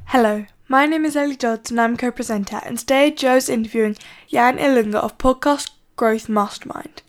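Woman co-presenter reading an intro. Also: fairly high pitch. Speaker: podcast co-presenter. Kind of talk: read guest introduction.

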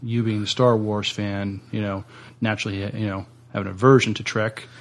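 A man with a lowish, gravelly voice that stays quite monotone. He chats casually as a podcast co-host, hesitating and doubling back on his words. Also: normal speed. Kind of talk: casual chat.

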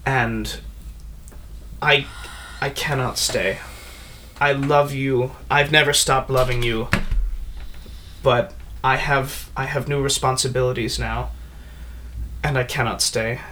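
A man voicing a grieving knight who speaks in a soft, halting way, as if holding back tears. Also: medium pitch, fairly even intonation, a little hiss or hum. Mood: sorrowful. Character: grieving knight bidding his love farewell.

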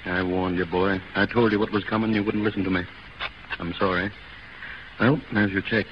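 A male actor plays a firm, fatherly theatre manager with a fairly low voice. His plain, measured delivery comes through an echoey, muffled 1930s radio broadcast with slight noise. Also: flat delivery, natural voice. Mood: stern, regretful. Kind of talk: 1930s radio drama acting.

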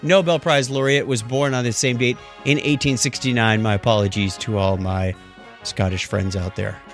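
A man's voice: mid-range voice, fairly even intonation, moderate pace, soft background music. A man hosting a podcast with playful, theatrical announcing and casual comic banter. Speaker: podcast host.

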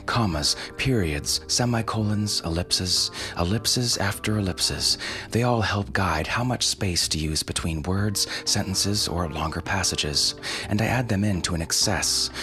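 Male producer-host, deep register, scripted explainer with dry asides, deliberate emphasis, light music underneath. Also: a bit bright. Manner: wry, informal, mildly profane. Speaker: podcast host and audio fiction producer. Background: faint music.

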